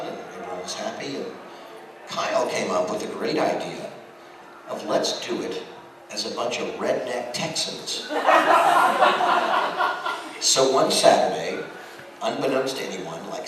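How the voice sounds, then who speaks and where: An American man speaking as a voice actor on a convention panel, telling anecdotes informally and acting out bits of dialogue. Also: fairly low pitch. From United States. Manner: animated storyteller, acts out dialogue. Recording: very echoey hall, distant mic, noisy.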